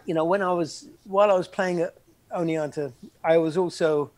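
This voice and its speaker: An older man, a former soccer player recalling his college years in a halting, reflective interview. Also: on the high side, fairly even intonation, darkish tone, no echo. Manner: halting, many restarts and "you know".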